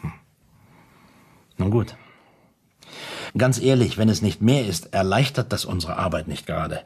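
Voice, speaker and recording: Deep-voiced, pompous private detective. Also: male voice, expressive, a little mellow, slightly rough, full character voice. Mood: solemn, discreet. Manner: officious, formal, repetitive, hesitant pauses. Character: pompous, obliging private detective with stiff formal manners. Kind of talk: German radio play acting.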